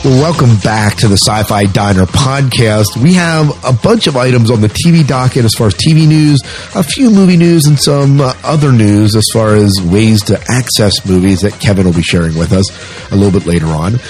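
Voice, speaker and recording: A man's voice: lowish voice, lively intonation, normal speed, hardly any pauses, a little mellow, faint music behind. A man hosting a podcast in a conversational, rambling style of casual news chat. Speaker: podcast host.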